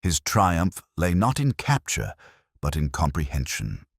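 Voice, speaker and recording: A man with a very deep voice narrates a literary audiobook. His reading is measured and solemn, yet moves expressively in pitch, and the sound is dry. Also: wildly swooping pitch, creaky, husky, no echo. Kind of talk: scripted first-person literary narration.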